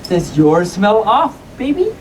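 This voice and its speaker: A woman voicing a proper, suspicious storybook mother bear in slow, deliberate answers, on a distant mic in a crowded room. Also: mid-range voice, sing-song, background noise. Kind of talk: storybook read-aloud.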